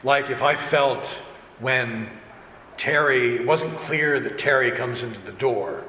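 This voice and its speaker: A man's voice: mid-range voice, slow. Echoey man telling anecdotes on a panel. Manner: animated storyteller, acts out quoted remarks. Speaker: audio drama director and voice actor on a panel. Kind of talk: casual panel talk with anecdotes. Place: large indoor convention panel room. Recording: echoey convention hall with background noise.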